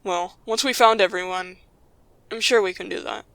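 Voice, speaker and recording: A woman playing a calm, practical, reassuring young group leader in an audio drama, with steady delivery. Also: medium pitch, moderate pace, no echo, natural voice.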